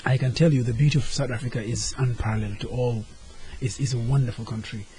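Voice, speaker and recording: A man speaks as a guest at a mid pitch. He talks earnestly and sometimes searches for the right word. A faint hiss sits under the recording. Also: steady tone, fast, dark tone, low-quality recording. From Senegal. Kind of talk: casual interview talk.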